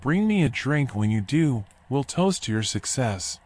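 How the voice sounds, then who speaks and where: An actor playing a washed-up animal drifter in a noir video game, folksy, rambling and resigned. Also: male voice, medium pitch, very animated, a little slow, darkish tone. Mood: wry, resigned. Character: washed-up male drifter animal, philosophical, wry, weary. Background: music.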